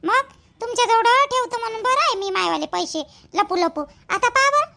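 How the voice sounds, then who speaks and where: A woman's voice: very high voice, normal speed, gravelly, sharp tone, full character voice. A woman voicing a busy, talkative village mother in a cartoon, rambling and repeating herself in colloquial Vidarbha Marathi. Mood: chatty, practical. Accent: Varhadi Marathi, Vidarbha. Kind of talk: rural family cartoon dialogue.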